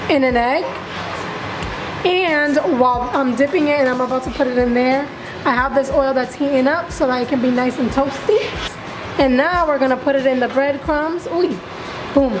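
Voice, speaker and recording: A woman's voice: high-pitched, noisy background. A woman in her twenties chatting casually and playfully through a home cooking vlog in her kitchen. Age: 20s. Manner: playful, bubbly, with sound words. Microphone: camera nearby, at arm's length or on counter. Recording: echoey kitchen, music behind.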